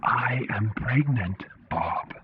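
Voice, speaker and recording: A man's voice: mid-range voice, slow, lo-fi sound, noisy background, close to an everyday voice. A man voicing a solemnly polite, tentacled alien creature who speaks in a stilted, formal, literal way in an audio story.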